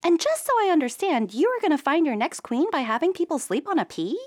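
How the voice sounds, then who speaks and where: A woman's voice: high-pitched, fast talker, voice pushed up. A woman voicing a sensible, unimpressed young princess in a storybook, griping and blunt. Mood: incredulous. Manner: flat, griping.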